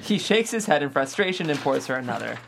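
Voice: medium pitch, big pitch swings, quick pace, vocal fry, fairly bright tone, a little hiss or hum. A narrator reading scripted stage directions at a comedy table read.

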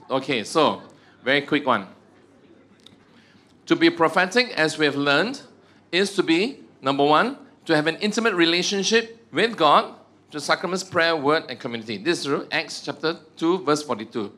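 A man with a Malaysian accent teaches a church prayer group in a chatty, joking way, poking fun at himself and ending lines with "ah" and "huh". His voice sits at an everyday middle pitch for a man. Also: pushed and bright. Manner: joking, self-mocking, tags sentences with "ah" and "huh".

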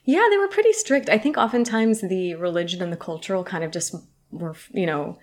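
A woman's voice: lowish voice, lively intonation, fairly quick. A woman from San Francisco whose first language was Arabic, talking casually as an interview guest with many fillers. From San Francisco, USA. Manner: chatty, many likes and you-knows.

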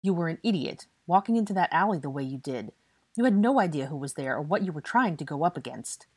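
A woman's voice: low-pitched, animated, quick pace, husky, no room sound. A woman voicing a bitter, angry young man who scolds heatedly in an audiobook fantasy story. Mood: scolding. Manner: heated, scolding, British swearing. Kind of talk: audiobook character dialogue.

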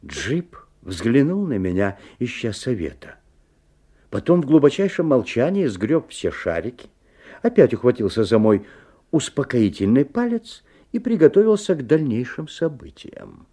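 An actor playing a bemused, observant father who narrates a magical story in a radio drama, with measured, expressive storytelling. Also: medium pitch, slightly rough. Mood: watchful, measured.

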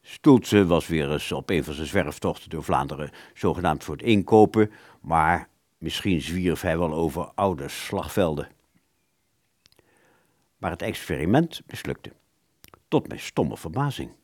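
A man's voice: extremely low pitch, animated, a little slow, a little mellow, no echo, studio quality, heavily acted. An actor playing a roguish, self-satisfied clarinettist, telling his own story theatrically in a radio play. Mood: self-pitying, smug.

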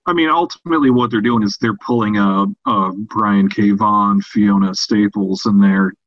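A man co-hosting a casual podcast discussion about fiction, talking in a halting way with repeated and restarted phrases. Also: fairly low pitch. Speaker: podcast co-host. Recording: dull, roomy lo-fi sound.

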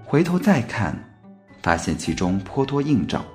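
A man's voice: medium pitch, sing-song, unhurried, darkish tone, faint music behind. A man narrating a scripted story for an amateur radio play.

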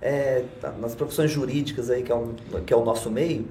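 A man's voice: medium pitch, fairly even intonation, brisk, warm tone, echoey room, slight background noise. A man co-hosting a podcast, upbeat as he opens the show and chimes in with short remarks. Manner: upbeat opener, short interjections. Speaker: podcast co-host. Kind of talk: podcast opening and interview chat.

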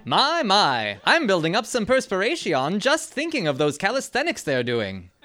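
A woman's voice: mid-range voice, huge pitch swings, normal speed, fairly bright tone, soft background music. A woman narrating a drama in an arch, playful way.

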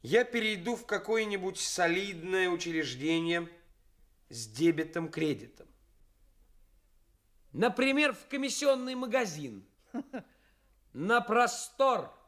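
A brazen, slow-talking young swindler. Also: male voice, high-pitched, animated, fairly bright tone, full character voice. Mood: brazen, confiding. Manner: pompous, deliberate, emphatic pauses. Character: brazen young actor posing as cynical swindler fiancé. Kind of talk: Soviet radio play acting. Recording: studio radio play with music.

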